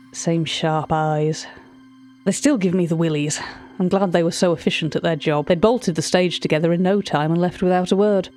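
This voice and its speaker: A woman performs an anxious, confiding narrator in an audio mystery. Her voice sits a little low as she gives a wordy, hesitant account of strange events. Soft music plays behind her. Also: lightly acted. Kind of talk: audio drama monologue, recorded-tape account.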